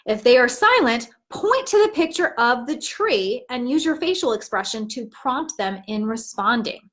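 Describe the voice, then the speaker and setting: A woman with a slightly high voice gives an instructional read-aloud lesson. Her intonation swings widely and expressively. She phrases things clearly, like a teacher showing others how to present a storybook. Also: big pitch swings, moderate pace, a bit bright. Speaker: teacher trainer.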